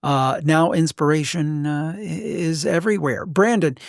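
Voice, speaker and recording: A man with a slightly high voice and plenty of fry hosts a podcast conversation. He talks in an informal interview style, recorded close and dry with little room sound. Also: a little slow, no echo. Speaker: podcast host.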